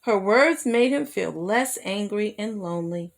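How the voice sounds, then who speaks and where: A woman reading a children's story aloud as a storyteller, in an expressive manner that draws young listeners in. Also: medium pitch, measured pace, gravelly.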